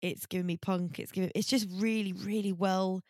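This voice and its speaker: A woman's voice: mid-range voice, steady tone, normal speed, a little mellow, no room sound, clean studio sound. A woman co-hosting a podcast, chatting casually about fashion in a blunt, sweary, enthusiastic way. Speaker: podcast co-host. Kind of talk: casual chat, fashion commentary.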